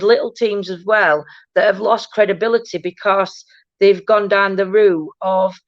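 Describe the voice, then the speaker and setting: A woman hosting a paranormal chat stream, talking casually with a loud, booming voice and many restarts. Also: no room sound. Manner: rambling, many restarts and "do you know what I mean". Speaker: host of a paranormal chat show. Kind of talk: casual live-stream chat reading viewer comments. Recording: dull, dry low-fi stream audio.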